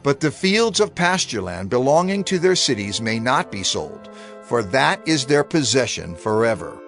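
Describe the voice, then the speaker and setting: A man giving a measured, solemn scripted narration for a dramatized audio Bible. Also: medium pitch, big pitch swings, a bit bright, faint music behind.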